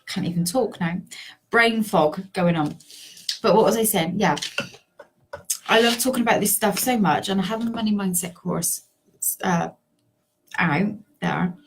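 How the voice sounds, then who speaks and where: A woman with a sore, hoarse voice, a life coach chatting casually and rambling with many ums and little laughs. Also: mid-range voice, slight background noise, poor audio. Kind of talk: casual talk to camera.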